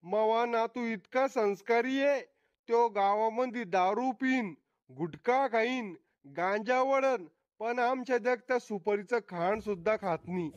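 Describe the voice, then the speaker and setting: A man's voice: extremely high pitch, measured pace, no room sound. A cantankerous old village grandpa from a comedy cartoon, acted by a man, blunt and boastful. The recording is dry and a bit muffled. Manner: blunt, quarrelsome, insulting. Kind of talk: Marathi comedy cartoon acting.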